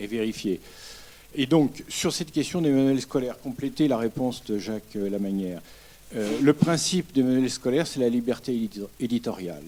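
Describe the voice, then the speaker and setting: A man speaking from the floor at a public debate, explaining his point in a measured way with many hesitations. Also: mid-range voice, dark tone. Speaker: audience member at a public debate.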